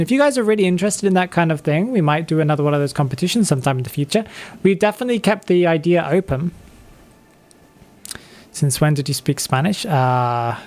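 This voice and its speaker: A man's voice: fairly high pitch, darkish tone. A man in his twenties chatting casually on a live game stream, reading chat and laughing often. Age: 20s.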